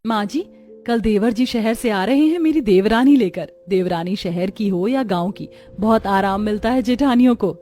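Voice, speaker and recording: A woman's voice: medium pitch, normal speed, warm tone, faint music behind, close to an everyday voice. A woman playing a traditional, dutiful village daughter-in-law in a scripted cartoon. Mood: hopeful.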